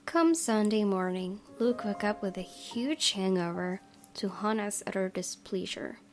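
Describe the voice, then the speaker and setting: Woman narrating with a creaky edge. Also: medium pitch, measured pace, faint music behind. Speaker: game streamer reading aloud. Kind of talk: expressive visual novel narration.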